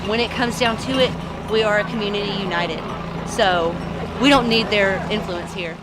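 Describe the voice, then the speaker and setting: A woman's voice: medium pitch, moderate pace, heavy background noise. A woman from the community speaks firmly and with resolve. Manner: firm, resolute.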